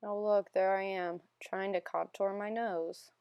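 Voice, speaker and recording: A young woman narrating a makeup tutorial in a casual, self-deprecating, rambling voiceover, her voice still a little hoarse from being sick. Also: mid-range voice, fairly even intonation, unhurried, a little mellow, no room sound, studio quality. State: slightly hoarse, recovering from illness. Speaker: beauty vlogger.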